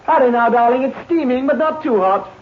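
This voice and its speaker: An actor playing an outwardly doting, solicitous husband in a 1950s radio drama. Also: male voice, extremely high pitch, a little fast. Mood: coaxing, calling out. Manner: solicitous, coaxing. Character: outwardly doting, coaxing husband, secretly a wife murderer. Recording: muffled, echoey old radio recording, faint noise.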